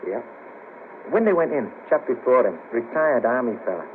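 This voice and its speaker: Down-on-his-luck ex band manager, male, played by an actor: Merseyside, gloomy and hesitant, varied intonation, 1970s radio play, clear tape noise. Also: mid-range voice, very animated, normal speed, lightly acted. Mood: wry. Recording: hissy narrow-band cassette of 1970s radio broadcast.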